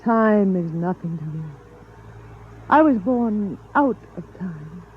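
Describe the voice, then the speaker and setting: An actress playing a gentle artificial wife who is newly feeling emotion, in a measured, solemn 1950s radio drama style. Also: female voice, mid-range voice, animated, a little hiss or hum. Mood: tender, hopeful. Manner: measured, hushed, solemn delivery. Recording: muffled vintage radio recording with music.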